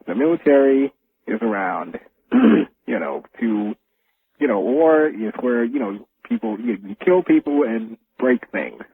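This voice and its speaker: An American man calling a radio show by telephone, speaking casually in a halting, hesitant way and repeating himself. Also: mid-range voice, sing-song, vocal fry, slightly rough, no room sound. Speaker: radio phone-in caller. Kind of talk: casual phone-in talk. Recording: narrow, tinny phone line on radio.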